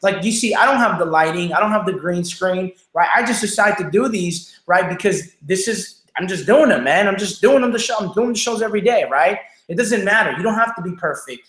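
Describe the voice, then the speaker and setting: Energetic man with a Mexican accent, echoey room. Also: high-pitched. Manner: energetic, repetitive, fast-talking, asks "right?" and "okay?" after each point. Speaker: livestream show host. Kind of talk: motivational livestream talk. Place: home room without studio lighting.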